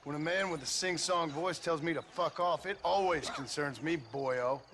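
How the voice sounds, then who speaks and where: A man's voice: a little high, normal speed, a bit bright, a little hiss or hum, natural voice. A man playing a tough, world-weary cowboy gunman in a video game, dry, blunt and unimpressed. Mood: dry, wary. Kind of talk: dramatic video game acting.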